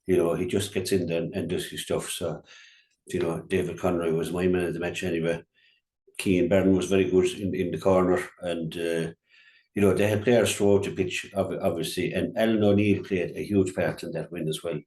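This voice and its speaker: Irish man, low-pitched, halting unscripted sports punditry, repeated words, echoey room sound. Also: steady tone, darkish tone. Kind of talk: unscripted match review commentary.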